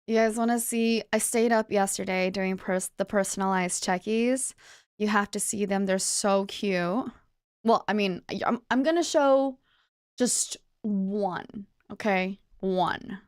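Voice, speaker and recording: A woman's voice: mid-range voice, a little slow, clean tone, no room sound, clean studio sound. A woman livestreamer chatting casually with her viewers in a bubbly, chatty manner. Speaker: livestreamer, virtual streamer host.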